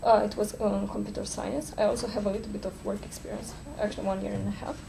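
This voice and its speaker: A woman student from Romania gives a plain, steady self-introduction. Her voice is strongly gravelly. Steady background noise is clearly audible. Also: medium pitch, fast talker.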